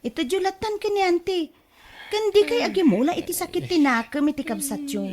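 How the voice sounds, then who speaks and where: A woman's voice: extremely high pitch, very animated, a little fast, a crowd in the background. A woman playing a headstrong, scheming middle-aged mother in a radio drama, speaking emphatically and insistently. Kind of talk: Ilocano radio drama acting.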